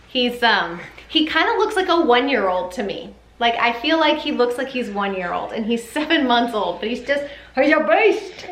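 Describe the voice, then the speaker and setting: High-voiced woman chatting in an echoey room. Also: fairly bright tone. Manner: chatty, often breaks into sing-song baby talk. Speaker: mother giving a baby update vlog. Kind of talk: casual chat to camera. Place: indoor room at home with ceiling fan. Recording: roomy echo, faint background noise.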